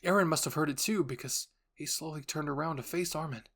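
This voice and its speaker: A man's voice: on the high side, brisk, a bit bright. A man reading scripted, second-person dramatic story narration as a narrator and voice actor.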